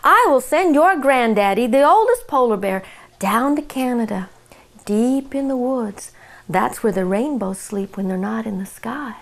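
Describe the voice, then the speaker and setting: A woman voicing a kindly old Santa Claus who speaks gently and slowly. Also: on the high side, expressive, creaky. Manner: gentle, slow.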